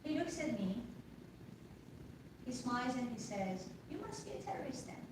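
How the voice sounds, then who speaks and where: A woman's voice: fairly high pitch, animated, normal speed. A middle-aged woman from Iran with an Iranian accent, telling personal stories as a guest in an earnest conversational way and often checking in with "right?". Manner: earnest, repeats "right?" for agreement. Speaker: podcast guest. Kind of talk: conversational storytelling. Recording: echoey room, distant mic, noisy.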